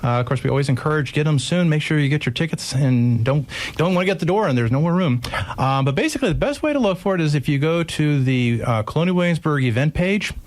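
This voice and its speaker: A man's voice: fairly high pitch, lively intonation, fast talker. A man talking casually as an interview guest, explaining in a meandering, jokey way. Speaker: radio show sound-effects performer, interview guest.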